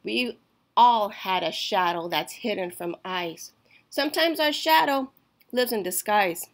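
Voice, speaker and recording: A woman reading a rhyming children's picture book aloud in a deliberate, expressive storytelling way. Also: mid-range voice. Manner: slow, deliberate, emphatic storytelling. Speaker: storytime reader.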